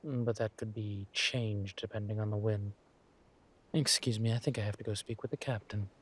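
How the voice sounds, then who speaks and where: Man acting as menacing villain king, low-pitched, husky, teasing courtly drawl, roleplay audio drama. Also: fairly even intonation, normal speed. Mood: wry, then tender, protective. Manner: smooth-talking, drawling, teasing, theatrically courteous. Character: suave, menacing villain king, former criminal, doting on his lover.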